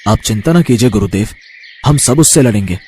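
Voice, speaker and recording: A man's voice: on the low side, lively intonation, fairly quick, a little mellow, slight background noise, lightly acted. A man voicing a young male disciple in a storybook tale, devoted, anxious and brave, with an eager, respectful, pleading manner. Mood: earnest, obedient. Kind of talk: animated storybook character acting.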